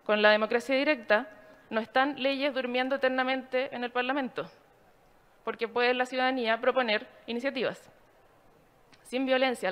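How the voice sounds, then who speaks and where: A woman giving a formal, explanatory slide presentation in a measured way. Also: fairly high pitch, fairly bright tone.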